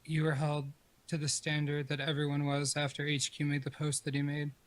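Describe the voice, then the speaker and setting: Male, slightly high-pitched, emphatic and accusatory, for an informal dispute. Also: monotone, a little fast. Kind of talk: informal argument.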